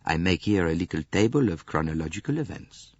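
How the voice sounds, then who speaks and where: A man voicing a fastidious, courteous older male detective who questions politely and orderly. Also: low-pitched, lively intonation, no room sound. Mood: probing. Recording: dull dry studio recording.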